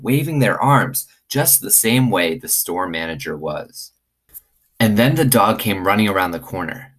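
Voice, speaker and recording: Low-voiced man reading a story aloud. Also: breathy edge. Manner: expressive, acts out dialogue. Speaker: teacher reading aloud to students. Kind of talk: read-aloud storytelling for children.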